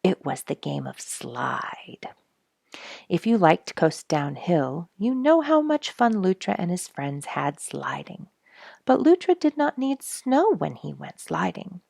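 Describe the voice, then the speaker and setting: A woman reading a children's storybook aloud in an expressive, emphatic manner. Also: on the low side, takes it slowly, vocal fry, slightly rough, no room sound, clean studio sound. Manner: expressive, emphatic on sound words. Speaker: storybook reader and host.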